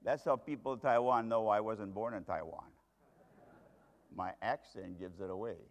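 A man's voice: fairly low pitch, normal speed. A man preaching a sermon to a congregation, telling the story dramatically and with strong emphasis. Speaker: pastor. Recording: long hall reverb.

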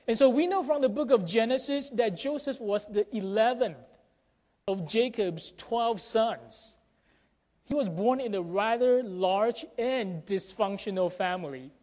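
A pastor giving announcements and a sermon to a congregation in a measured, explanatory way, with check-in questions to the listeners. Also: medium pitch, darkish tone. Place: church sanctuary during a service. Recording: reverberant hall sound.

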